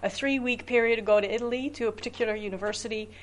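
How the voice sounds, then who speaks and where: A middle-aged woman professor speaking on a panel, lively and full of anecdotes. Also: on the high side, very fast. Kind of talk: academic panel discussion.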